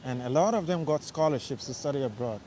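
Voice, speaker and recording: Relaxed man live-streaming on a night walk. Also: mid-range voice, fast talker, low-quality recording. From Liberia. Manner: relaxed, rambling, answers viewer questions. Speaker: livestream host. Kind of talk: casual live-stream chat while walking. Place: outdoors on a city street at night. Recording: faint street and traffic noise. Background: faint traffic noise.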